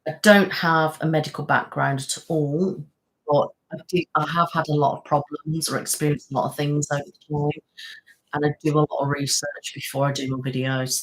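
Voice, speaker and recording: A woman's voice: deep voice, little pitch movement, normal speed, low-quality recording. A woman, chatting casually on a live stream and answering viewers' comments in a rambling, friendly way. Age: 30s. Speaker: ASMR channel host.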